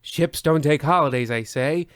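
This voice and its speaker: A man's voice: a little high, normal speed, no room sound, lightly acted. Man playing a pompous, dry-sounding radio host. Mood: pompous, deadpan. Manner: formal, deadpan. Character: pompous, tyrannical horror-anthology radio host. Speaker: narrator and host. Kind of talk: old-time radio scripted narration.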